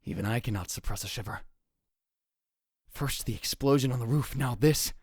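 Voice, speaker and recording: Man voicing a husky, shaken young student. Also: mid-range voice, normal speed, a little mellow, no echo, studio quality. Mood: tense. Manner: tense inner monologue, dropping to whispers. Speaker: narrator and lead voice in a fan dub. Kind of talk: first-person visual novel narration.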